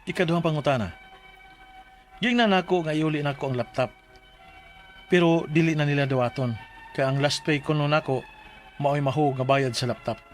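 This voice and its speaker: Man playing young employee, Cebuano Filipino accent, raised pitch, troubled, pleading, radio drama, music underneath. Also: normal speed, long pauses, close to an everyday voice. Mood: troubled, earnest. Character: distressed young male employee accused of stealing a laptop. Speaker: letter sender in a radio drama. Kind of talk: Bisaya radio drama acting and letter narration.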